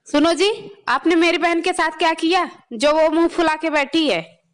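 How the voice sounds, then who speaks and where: A woman's voice: very high-pitched, moderate pace, very noisy. A woman playing a sassy, teasing young wife with a pert, challenging manner in a comedy skit. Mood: needling.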